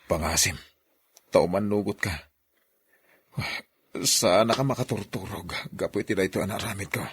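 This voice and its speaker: A man's voice: deep voice, normal speed, slightly rough. A man playing a commanding, secretive husband in a radio drama, with an Ilocano Filipino accent. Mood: urgent, scheming. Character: secretive husband Alex, commanding, scheming over his hidden child.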